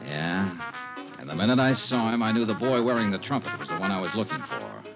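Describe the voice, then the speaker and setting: An actor playing a polite, wry hard-boiled insurance investigator who also narrates a 1950s radio drama, in a dry, courteous manner. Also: male voice, low voice, sing-song, a little fast, music in the background. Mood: dry, narrating. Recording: muffled 1950s radio recording.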